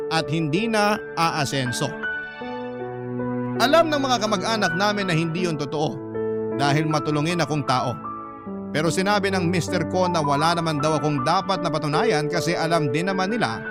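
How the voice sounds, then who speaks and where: A man's voice: a little high, lively intonation, moderate pace. A man narrating a radio drama, reading a first-person letter in an emotive storytelling style. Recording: loud music bed under voice. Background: loud background music.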